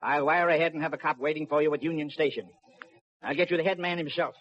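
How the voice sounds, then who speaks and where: An actor playing a grumpy veteran train conductor in a 1950s radio drama, dismissive and lecturing. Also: male voice, on the high side, fairly even intonation, fast talker, close to an everyday voice. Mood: grudging. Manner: grudging, lecturing. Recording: dull 1950s radio recording.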